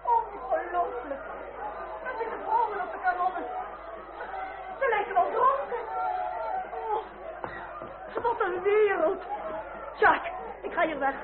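A woman's voice: very high-pitched, slow, long pauses, a loud crowd. A woman playing a helpless, fretful aristocratic lady in an old radio drama, theatrical and exclamatory in her distress. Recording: tinny old radio recording, loud noise.

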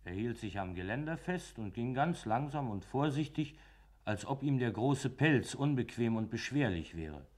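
A man's voice: medium pitch, expressive, normal speed, a little mellow, natural voice. An actor playing a simple farmhand and coachman, speaking deferentially and colloquially in a German radio play. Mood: steady recounting. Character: plain, obedient farmhand and coachman telling his account.

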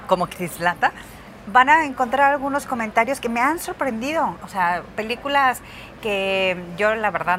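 A chatty Mexican woman co-hosting a podcast, with a somewhat high voice. She rambles through her recommendations with a steady stream of fillers and restarts. A faint hiss sits under her voice. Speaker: podcast co-host recommending films and series. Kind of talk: casual chat.

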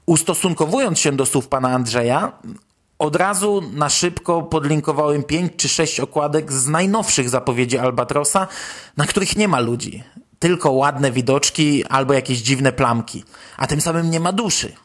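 A man's voice: a little high, moderate pace. A Polish man hosting a podcast, commenting on news in a conversational, wry way. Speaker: podcast host.

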